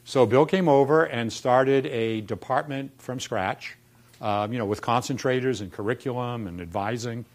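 A man in his sixties from Boston, with a Boston accent, telling wry stories in a relaxed lunchtime talk in a lecture room. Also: medium pitch. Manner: wry storyteller, quoting people with punchlines. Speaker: former dean giving a talk. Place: dark lecture room in front of chalkboards.

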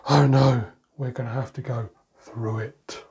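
Middle-aged male storyteller, grandad role, unhurried pace, expressive picture-book reading for small children. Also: mid-range voice, lo-fi sound. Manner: expressive, with playful sound words and drawn-out phrases. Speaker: grandad reading bedtime stories. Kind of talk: picture-book read-aloud for young children. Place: indoors in a room at home, by a door.

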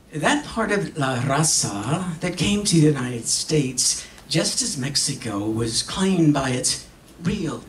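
An older man performing a dramatic reading on stage in a solemn, theatrical manner with long pauses. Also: a little high. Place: stage in an auditorium. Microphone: stand microphone heard from a distant camera. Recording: echoey hall, distant camera, faint noise.

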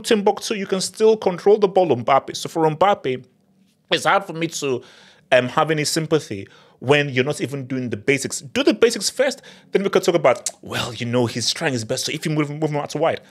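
A man in his thirties talks straight into a studio mic on a boom arm, breaking down a match as a football channel host. His pitch leaps up for emphasis and he keeps hammering points home by repeating words. It sounds like a small home studio. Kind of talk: post-match analysis monologue.

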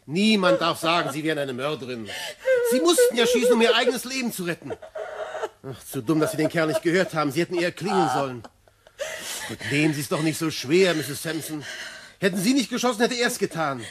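An actor playing a trusting police inspector in a radio crime drama, soothing yet briskly authoritative. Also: male voice, on the high side, sing-song, over a noisy crowd. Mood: urgent consoling.

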